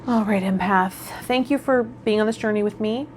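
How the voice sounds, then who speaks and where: A woman's voice: mid-range voice, moderate pace, a little mellow, slight background noise. A woman hosting a spiritual vlog, talking informally and reflectively, straight to her viewers. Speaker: tarot vlogger and host. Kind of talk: casual spiritual vlog talk, partly reading card meanings.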